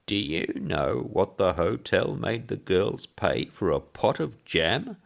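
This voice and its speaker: A man's voice: deep voice, big pitch swings, takes it slowly, gravelly, pitched above the performer's own voice, full character voice. A man voicing a frail old Englishman in a storybook reading, chatty and rambling, with a piping, quavering voice. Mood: mildly indignant. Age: older. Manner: rambling, complaining gossip. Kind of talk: short story character voice.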